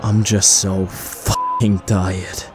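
A man voicing a gruff, grieving giant monster in a dramatic comic dub, sighing and heavy in delivery. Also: low voice, level tone, very slow. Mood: weary, resigned. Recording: dramatic music underneath.

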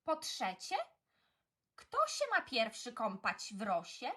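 Woman narrator, expressive children's poem reading, theatrical, slow deliberate phrasing, bright tone. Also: a little high, slightly rough.

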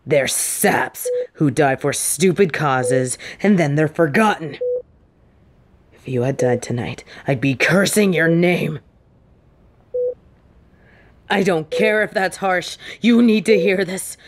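Woman acting a slow-spoken, intense secret agent. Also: low-pitched. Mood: cold, scolding anger. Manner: slow, emphatic, emotionally intense delivery. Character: stern female secret agent, fiercely protective, secretly in love. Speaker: voice actor in audio roleplay. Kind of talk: dramatic intimate audio roleplay.

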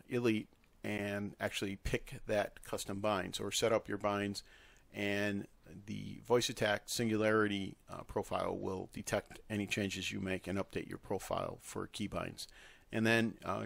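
A man giving an unscripted screen-recorded software tutorial, hesitating often as he explains each step. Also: fairly low pitch, little pitch movement, moderate pace, creaky.

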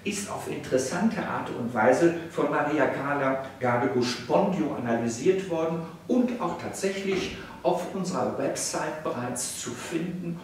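An older professor lectures in a formal, rhetorical way, pausing on small hesitations as he goes. His voice sits a bit high for a man and carries a strong room echo, picked up by a camera mic set some distance away in a salon. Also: lively intonation, slight background noise. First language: German. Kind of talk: freely spoken video lecture. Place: indoor salon room, seated on a sofa.

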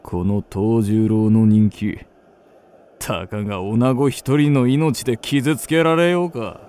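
A man's voice: lowish voice, fairly even intonation, takes it slowly, mellow, slight background noise. A man playing a proud, coolly theatrical kabuki star in a period radio drama, with stately old-fashioned speech. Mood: haughty, rousing.

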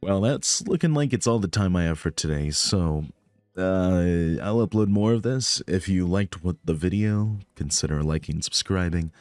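Guy with a really low voice reading a visual novel out loud, dry close sound. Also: normal speed, no echo. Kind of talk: scripted narration with offhand asides.

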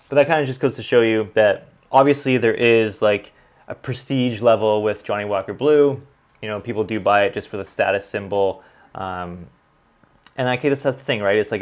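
Laid-back Canadian man, lowish voice. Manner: relaxed and chatty, many ums and likes. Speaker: whiskey reviewer and channel host. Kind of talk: casual vlog, reading comments and tasting. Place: small home studio with bottles on a desk.

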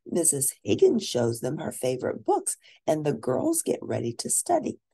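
A woman reading a children's storybook aloud expressively, with drawn-out emphasis for young listeners. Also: deep voice, huge pitch swings, gravelly, warm tone, husky. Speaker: storybook reader.

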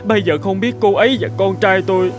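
An actor playing an anxious, distraught young working father in an animated fable, sounding close to tears. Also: sits high, fast, over music, close to an everyday voice. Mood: despairing.

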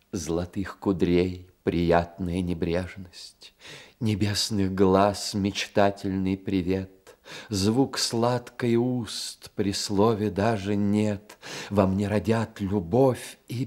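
An actor playing a proud, witty young poet in a radio drama, with measured, declamatory delivery. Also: male voice, low-pitched, darkish tone. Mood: romantic recital. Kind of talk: Soviet radio drama acting.

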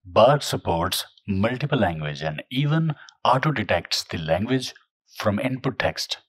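A man narrating a software tutorial in a steady, scripted, explanatory way. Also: on the low side, a little slow, husky, no room sound, studio quality.

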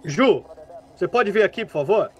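An actor playing a bureaucratic, sceptical small-town policeman in an audio drama, formal and unhurried. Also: sits high, expressive, lo-fi sound. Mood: matter-of-fact.

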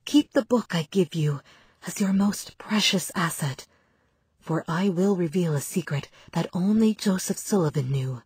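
A devout nun reading letters to her son in a video game. Her voice is low and very breathy, tender yet solemn, and recorded close and dry. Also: animated, a little slow, no room sound, heavily acted. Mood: wistful, solemn. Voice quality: breathy, soft. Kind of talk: letters read aloud in game cutscene.

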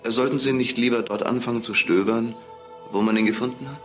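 An actor playing a smug, cynical, self-absorbed suspect in a German crime radio drama, talking with sardonic arrogance. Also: male voice, medium pitch, fairly even intonation, fairly quick, dull audio, soft background music, natural voice. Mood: cynical, provoking.

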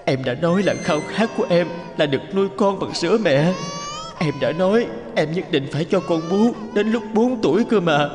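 A devoted, anxious young father from a cartoon drama. His voice sits high for a man and is earnest and full of feeling. Music plays clearly behind him. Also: lively intonation, normal speed. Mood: anguished, pleading. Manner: earnest, emotive. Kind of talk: dubbed cartoon drama acting.

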